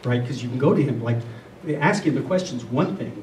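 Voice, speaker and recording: A middle-aged or older man giving a reflective spiritual talk to a group, speaking earnestly and deliberately, often repeating phrases. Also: mid-range voice, animated, darkish tone. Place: room with a listening group. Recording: roomy, distant mic, faint noise.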